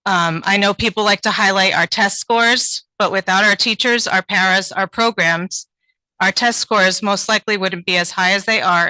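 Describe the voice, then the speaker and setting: Earnest woman teacher with a bright voice. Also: fairly low pitch, no room sound, rough recording. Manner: earnest, pleading, with frequent ums. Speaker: teacher speaking at public comment. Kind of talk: prepared public statement. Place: town board meeting.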